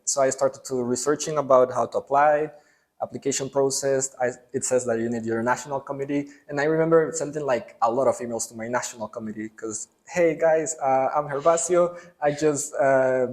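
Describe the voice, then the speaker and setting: A man's voice: mid-range voice, a little fast. A young man from El Salvador, a student, telling his story as an interview guest in a loose, rambling way with many fillers. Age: young adult. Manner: rambling, lots of fillers and restarts. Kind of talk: casual conversational interview.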